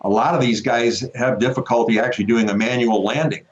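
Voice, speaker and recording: Muffled remote link; male veteran pilot, mid-pitched, conversational expert explainer. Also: steady tone, a little fast. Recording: dull, lo-fi remote connection.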